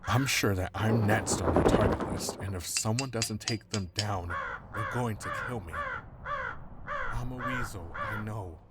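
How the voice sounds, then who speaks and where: An actor playing an anxious, self-preserving corporate lawyer in a cyberpunk audio drama, explaining and pleading. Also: male voice, fairly low pitch, wildly swooping pitch, measured pace, heavy background noise. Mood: nervous, pleading. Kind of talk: cinematic cyberpunk audio roleplay acting.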